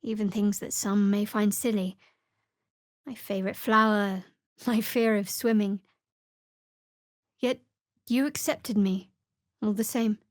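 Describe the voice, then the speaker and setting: An actress playing a guarded, dryly sardonic young woman cleric of a dark goddess in a fantasy video game. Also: medium pitch, steady tone, slow pace, long pauses, no room sound, studio quality, lightly acted. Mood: earnest.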